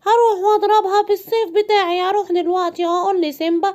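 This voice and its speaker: Cartoon parrot, played by a woman: very high, shrill, fast, self-important little know-it-all. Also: fairly even intonation, darkish tone. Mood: boastful, determined. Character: excitable boastful male parrot, self-styled problem solver. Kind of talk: children's cartoon story.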